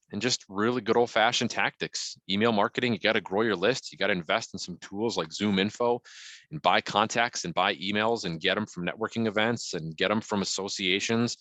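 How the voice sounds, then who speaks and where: Middle-aged male consultant: booming, deep-leaning voice, dry close sound, emphatic and conversational. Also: pushed and bright, no room sound. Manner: emphatic, punchy, frequently checking in with "right?". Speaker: guest marketing consultant. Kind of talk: conversational business advice to an online group.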